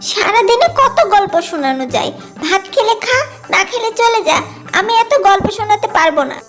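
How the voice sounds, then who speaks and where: A performer voicing an impatient village mother in a cartoon story, nagging her young daughter briskly. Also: very high-pitched, animated, a bit bright. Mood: irritated nagging. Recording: background music.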